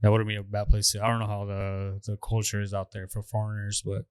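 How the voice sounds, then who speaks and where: A young man co-hosting a podcast, agreeing quickly and chiming in with short remarks. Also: fairly low pitch, monotone, clear, resonant tone, dry recording, studio quality. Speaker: podcast co-host. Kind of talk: casual chat.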